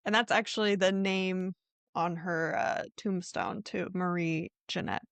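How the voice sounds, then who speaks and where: A woman's voice: lowish voice, fairly even intonation, unhurried, creaky, dry recording. A woman hosting a podcast, telling true crime stories in an informal, conversational way with a hedging manner. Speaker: podcast host.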